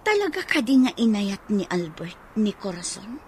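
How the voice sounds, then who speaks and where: A suspicious, demanding wife played by a woman speaks with a Filipino accent in radio drama style. Her pitch swings widely with scorn. There is noise behind the voice. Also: very animated, normal speed, lightly acted. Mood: pressing, demanding.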